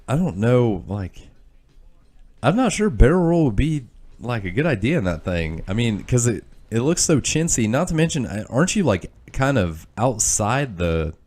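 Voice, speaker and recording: A man's voice: medium pitch, sing-song, measured pace, a little mellow. A young man with a stuffy, nasal voice, rambling loosely through let's-play commentary with lots of fillers and self-deprecating asides. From Kansas. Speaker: gaming channel host.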